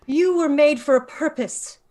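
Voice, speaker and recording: A woman playing a stern, commanding leader of a mystic order in a dramatic screenplay table read, authoritative and measured. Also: sits high, a little mellow. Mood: solemn.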